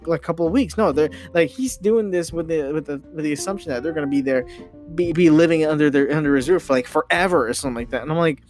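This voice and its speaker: Young male podcast host, higher-pitched, opinionated and emphatic, stumbling repetitions, guitar bed underneath. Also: a little mellow. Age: young adult. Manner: halting, repeating and restarting phrases, emphatic, mildly sweary. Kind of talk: rambling, opinionated podcast monologue. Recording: guitar music behind the voice. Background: guitar music.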